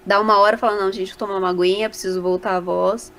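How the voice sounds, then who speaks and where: A Brazilian woman with a mid-pitched voice talks casually in a podcast interview. She tells stories in an animated way, slipping into quoted remarks. A faint noise sits behind her voice. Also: very fast. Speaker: voice actor guest.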